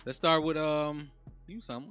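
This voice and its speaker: A man's voice: on the high side, steady tone, normal speed, fairly bright tone, smooth, soft background music. A man hosting a casual podcast interview, hyped and profane, repeating lines for emphasis. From Chicago south suburbs. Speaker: podcast host.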